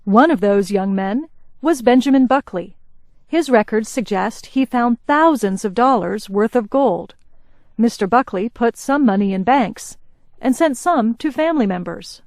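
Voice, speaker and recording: A woman reading a scripted news report in a slow, even, carefully paced way. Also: a little high, no echo, studio quality. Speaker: news reader.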